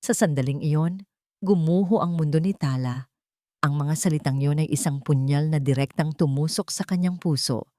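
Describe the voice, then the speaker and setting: A woman narrating a scripted dramatic story in a theatrical, expressive manner. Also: low voice, normal speed, no echo, studio quality.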